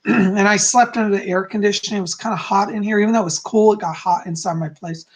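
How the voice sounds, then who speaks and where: Hoarse, husky man chatting on a live stream. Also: high-pitched, fairly even intonation, brisk. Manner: chatty, effusive, frequent "okay?" and "you know". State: hoarse, a little raspy. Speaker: psychic hosting a live stream. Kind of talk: casual live-stream chat greeting viewers. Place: at home.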